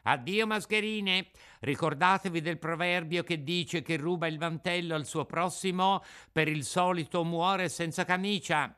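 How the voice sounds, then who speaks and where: A man's voice: a little high, a little slow, bright, forceful tone, smooth, voice pushed up. A man voicing a spirited, cocky wooden puppet boy in an emphatic, declamatory storybook manner. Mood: scornful, taunting.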